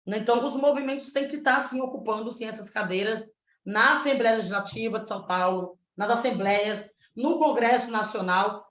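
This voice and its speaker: A woman's voice: fairly high pitch, moderate pace, a bit bright. A woman in her thirties from Pernambuco, a candidate for the state legislature, answering in a panel debate with an earnest, activist way of speaking and frequent tag questions. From Pernambuco, Brazil. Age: 30s. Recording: roomy, dull lo-fi sound.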